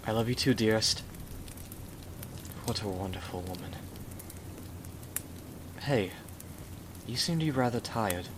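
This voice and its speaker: A gentle, devoted warrior gentleman played by a man speaks in a low voice. He is formal and earnest, full of courtly endearments, in an ASMR roleplay. The recording carries clear noise. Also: measured pace, long pauses, lightly acted. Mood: tender, devoted.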